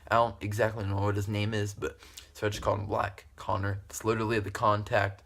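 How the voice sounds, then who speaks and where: A man's voice: on the low side, level tone, fast, vocal fry, fairly bright tone. A young man telling a story casually to camera in a rambling, giggly, repetitive way. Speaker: video creator telling stories.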